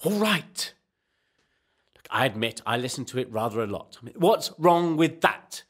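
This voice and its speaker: A man's voice: medium pitch, sing-song, moderate pace, fairly bright tone. A man playing an indignant, evasive husband who protests and hesitates in a radio comedy. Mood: defensive. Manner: protesting, hesitant when cornered.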